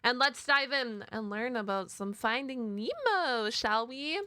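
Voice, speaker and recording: A woman's voice: on the high side, animated, unhurried, bright, forceful tone, no room sound, clean studio sound. A woman giving casual reaction commentary as a video host, informal and exclamatory with plenty of slang.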